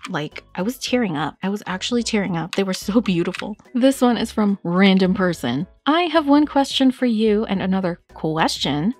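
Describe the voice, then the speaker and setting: A woman's voice: mid-range voice, animated, normal speed, few pauses, dry recording. A young woman chatting casually as she answers viewer questions for her toy-collecting vlog, reading them aloud and laughing at herself. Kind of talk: casual Q&A chat.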